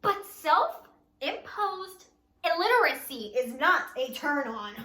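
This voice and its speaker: A woman's voice: high voice, very animated, takes it slowly, pushed and bright, echoey room, low-quality recording. Theatrical woman performing spoken word poetry. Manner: theatrical, emotional, mocking delivery. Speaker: poet, writer.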